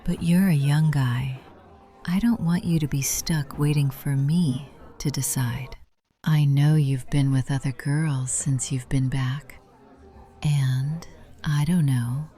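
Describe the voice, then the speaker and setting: Mature female romance-game lead: very deep, mellow, breathy, affectionate, teasing but guarded. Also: fairly even intonation, slow pace, some chatter behind. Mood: tender, cautious. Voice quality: breathy, often whispery. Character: Nora, affectionate older aunt, teasing yet cautious lover. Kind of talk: visual novel voice acting.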